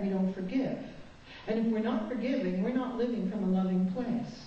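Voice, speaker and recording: A woman from New Zealand gives a spiritual talk as a guest speaker in a chapel, telling candid stories with a self-mocking streak. Her voice is mellow. It is picked up from far off in a very echoey hall, with a dull, muffled sound. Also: lowish voice, a little hiss or hum. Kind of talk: spiritual talk with personal anecdotes.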